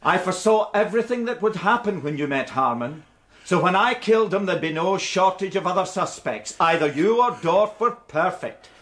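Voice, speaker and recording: A man's voice: sits high, lively intonation, normal speed, a bit bright. A British actor playing a gloating, theatrical murderer in a radio drama, taunting and smug as he toys with his pursuer. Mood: smug, menacing.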